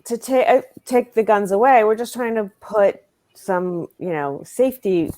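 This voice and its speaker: A woman co-host speaks slowly and with some hesitation. Her pitch still moves a lot as she talks. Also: expressive, a little mellow. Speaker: co-host, comedian. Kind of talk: casual chat.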